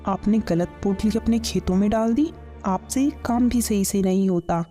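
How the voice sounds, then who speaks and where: A woman's voice: medium pitch, moderate pace, dark tone, natural voice. A woman playing a greedy, scheming village farmer's wife in a kids' cartoon, with a nagging, commanding manner. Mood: angry blaming. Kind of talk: Hindi kids' cartoon story dialogue. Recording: music under the voice.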